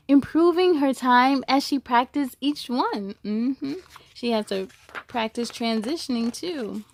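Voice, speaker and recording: High-voiced woman reading a children's story. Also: no echo. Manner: expressive, encouraging, addresses young listeners directly. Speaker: storytime host reading a children's book aloud. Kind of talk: children's read-aloud with chatty asides to listeners.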